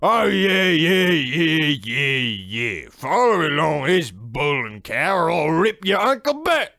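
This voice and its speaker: A man's voice: a little high, very animated, slow pace, gravelly, sharp tone, dry recording, clean studio sound, exaggerated voice. A man playing a chummy, bumbling Cockney chimney sweep villain in a comic radio play, full of theatrical banter. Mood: rallying. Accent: exaggerated Cockney. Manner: theatrical rhyming-slang banter. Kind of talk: comic old-time radio play acting.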